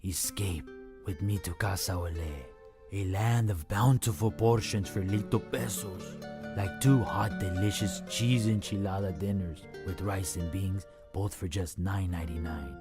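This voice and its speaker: A man's voice: deep voice, steady tone, unhurried, over music. A man voicing a romantic seducer in a restaurant commercial, wooing the listener theatrically. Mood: seductive, inviting. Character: smooth romantic seducer inviting an escape. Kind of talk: restaurant commercial character acting.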